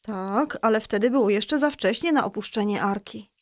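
A woman playing a patient mother who explains a Bible story to children in a children's audio drama, reassuring and clear. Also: mid-range voice, moderate pace, dry recording, close to an everyday voice. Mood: patient, explaining.

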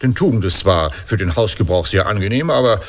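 Radio play: aging Greek hero, silver-tongued rogue, courtly, very animated delivery. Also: male voice, fairly low pitch, a little fast. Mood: evasive, pensive. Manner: courtly flattery, hesitant self-justifying. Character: smooth-talking old Greek hero, courtly and roguish. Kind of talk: ironic radio play dialogue.

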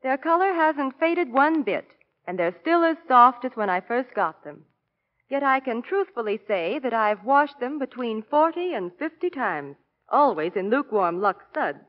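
A woman's voice: high-pitched, sing-song, moderate pace. A woman spokesperson delivering a scripted 1940s radio commercial. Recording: dull 1940s radio broadcast.

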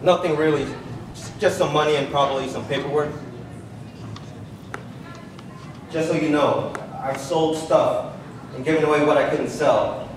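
A man's voice: a little high, fairly even intonation, moderate pace, close to an everyday voice. Man acting earnest son in echoey hall. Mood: resigned. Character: patient, anxious adult son settling his affairs. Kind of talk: staged play reading. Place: large glass-walled public hall, on stage. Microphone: handheld. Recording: echoey hall, distant stage microphone, faint noise.

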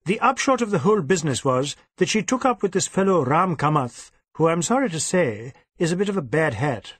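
Man acting brisk, proper older Indian gentleman, husky. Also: on the high side, sing-song, no room sound, clean studio sound, full character voice. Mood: matter-of-fact. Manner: clipped, old-fashioned, matter-of-fact.

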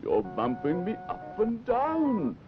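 A grumpy, scolding talking parcel, swooping wildly in pitch. It is bossy and indignant, in sixties puppet-show style on dull film sound. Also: male voice, huge pitch swings, slow, full character voice. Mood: pained, indignant. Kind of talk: 1960s children's puppet show acting. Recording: dull 1960s television film soundtrack, music.

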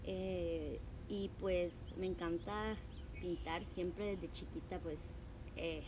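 Woman, Salvadoran Spanish accent, slow pace, hesitant informal livestream hosting, some English words, noisy outdoor patio recording. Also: fairly low pitch. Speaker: art class host. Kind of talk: casual livestream chat to viewers. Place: outdoor patio among trees. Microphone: device mic at about arm's length. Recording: noisy outdoor ambience with traffic hum.

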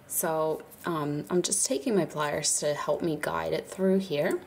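A woman whose voice sits quite low and sounds stuffed up from a cold. She explains a craft project in a relaxed, chatty way with easy fillers. A faint hum of room ambience sits behind her. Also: measured pace, gravelly. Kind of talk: casual hands-on tutorial narration. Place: indoors at a craft table, birds outside.

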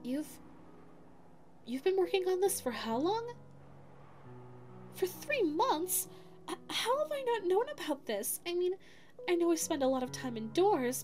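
A woman's voice: very high voice, a little mellow. A woman voicing a sweet, flustered, lovestruck young female dog secretary in an ASMR audio roleplay, stammering and self-effacing. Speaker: voice actor.

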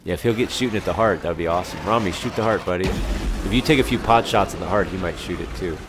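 A man's voice: sits low, fast talker, noisy background. A man chatting casually while playing a co-op video game, giving running commentary to his teammate. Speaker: gamer commentating on a co-op playthrough. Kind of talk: casual gameplay chat.

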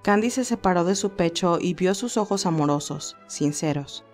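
A woman's voice: fairly low pitch, animated, moderate pace. A woman narrator reading a scripted romantic story. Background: music.

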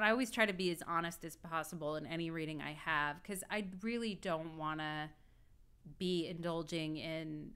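Brief: woman in her 30s, astrologer on webcam, lower pitch, crisp and clear, rambling casual tone. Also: fairly bright tone. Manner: rambling and reflective, full of "like" and "you know". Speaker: astrologer and tarot reader. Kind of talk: casual video talk. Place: indoor room at home.

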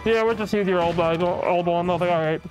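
A man of thirty casting a fighting game tournament in a relaxed, chatty, self-deprecating way. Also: high voice, fast talker, low-quality recording. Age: 30s. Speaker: esports caster. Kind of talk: casual game commentary chat. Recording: faint music behind.

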